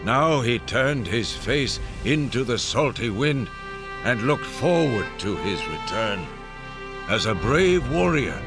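A man's voice: medium pitch, very animated, slow. A man narrating a scripted radio drama as a storyteller. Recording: clear music bed under narration.

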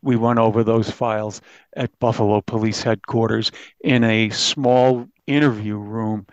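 A middle-aged or older man, a crime reporter, answering interview questions in a measured, halting way. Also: on the low side, steady tone, no echo. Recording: slightly dull, dry sound.

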